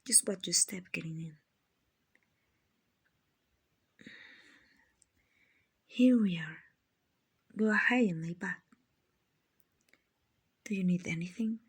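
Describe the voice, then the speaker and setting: A woman voicing a kindly, genteel female vampire in a soft, soothing, half-whispered ASMR roleplay, with a breathy, husky voice. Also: fairly low pitch, steady tone. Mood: soothing, reassuring. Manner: slow, soothing, genteel, endearing. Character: gentle, kindly female vampire, soothing rich slave-buyer.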